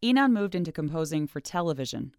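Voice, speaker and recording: A woman host reading a scripted introduction in a smooth, measured way. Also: low voice, sing-song, darkish tone, dry recording, clean studio sound. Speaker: interviewer and narrator. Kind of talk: scripted narration.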